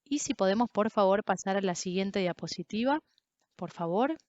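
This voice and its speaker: Woman interpreter, steady explanatory read, dry and slightly dull sound. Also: medium pitch, normal speed, no room sound. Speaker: simultaneous interpreter. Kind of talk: interpreted lecture.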